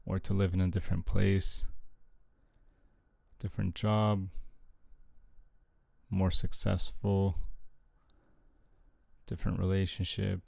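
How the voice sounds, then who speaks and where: A man with a very low voice and a creaky, gravelly edge leads a guided meditation. His delivery is hushed and unhurried, with long pauses and very little melodic movement. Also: level tone, no room sound.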